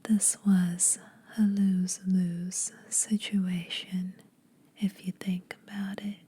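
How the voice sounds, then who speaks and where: A woman playing a menacing, teasing vampire huntress in a soft, breathy ASMR whisper, slow, deliberate and condescending. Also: fairly low pitch, flat delivery, exaggerated voice. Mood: smug, possessive.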